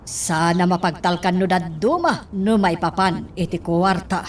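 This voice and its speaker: A woman's voice: lowish voice, moderate pace, close to an everyday voice. An actress playing an impatient, money-minded female accomplice in an Ilocano radio drama. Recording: distant mic, faint background noise.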